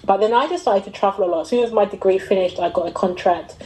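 A middle-aged woman telling her own story in an interview, talking conversationally in a reflective, halting way and often rephrasing. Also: mid-range voice, a little mellow, rough recording. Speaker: interviewee, fashion entrepreneur.

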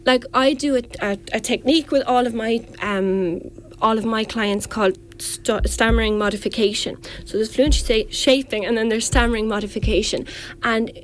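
A woman's voice: a little high, steady tone, moderate pace, slight background noise, poor audio. A woman speech therapist explaining as a radio guest, earnest and full of restarts and fillers.

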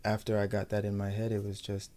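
A young man from the United States speaks as an athlete in a casual interview. His voice sits fairly low and stays quite flat in pitch. He answers in a measured, matter-of-fact way, with a soft, mellow tone. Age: young adult. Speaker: professional footballer being interviewed.

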